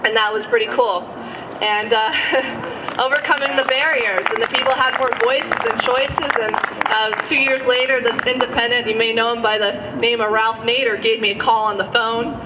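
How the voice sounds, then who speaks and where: A woman's voice: on the high side, fairly even intonation, moderate pace. Thirty-something woman speaking at a rally. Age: 30s. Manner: earnest, with frequent uh fillers and self-corrections. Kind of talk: public speech. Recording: distant mic with audience noise.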